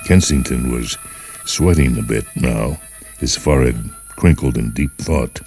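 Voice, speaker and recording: Male story narrator, extremely low register, dramatic scripted read over music. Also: a little slow, a little mellow. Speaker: narrator reading short fiction for a radio series. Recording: music behind the voice.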